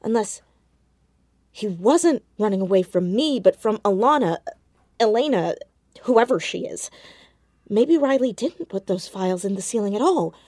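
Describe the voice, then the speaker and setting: A woman's voice: mid-range voice, animated, measured pace, long pauses, warm tone, dry recording, clean studio sound. A woman playing a fearless, sceptical teenage girl sleuth in a naturalistic audio drama, probing bluntly with wry directness. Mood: reasoning, insistent.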